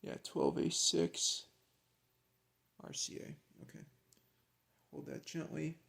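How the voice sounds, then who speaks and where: A man with a creaky voice talking casually and haltingly, half to himself, as he narrates a hands-on hobby task. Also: on the high side, steady tone, a bit bright. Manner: halting, muttering to himself while handling parts. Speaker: hobbyist presenter. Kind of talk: casual hands-on vlog narration while working.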